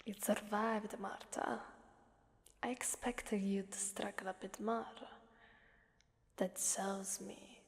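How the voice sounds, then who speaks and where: A haughty, sadistic demon mistress of a hellish arena, acted by a woman, speaks in a slow, whispery ASMR roleplay voice. She taunts and commands in a soft breath, with long cavernous reverb around her words. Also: medium pitch, little pitch movement. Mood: smug approval.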